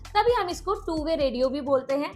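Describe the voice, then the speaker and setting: A woman's voice: sits high, animated, brisk, a little mellow, soft background music. A woman hosting a casual product demo, explaining things and mixing in English words. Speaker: product review host.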